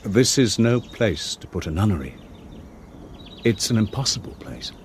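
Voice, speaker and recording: A man's voice: fairly low pitch, animated, normal speed, a little hiss or hum, natural voice. A man playing a dry, teasing Englishman. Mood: blunt. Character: sardonic Englishman, the estate agent. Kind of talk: radio drama acting.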